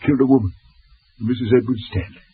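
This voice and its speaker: Husky, stammering British major. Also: male voice, medium pitch, steady tone, fast talker. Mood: befuddled, chatty. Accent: upper-class British. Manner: stammering, clipped fragments, absent-minded repetition. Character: befuddled, stammering upper-class British military major, jovial old-boy type. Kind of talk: 1940s radio drama acting. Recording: tinny vintage radio broadcast.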